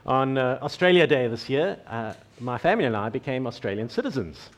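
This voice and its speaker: A middle-aged man from South Africa with a South African accent, preaching a conversational sermon and slowing down for scripture quotes. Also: medium pitch, sing-song. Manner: conversational, self-deprecating, slows down for scripture quotes. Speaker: pastor.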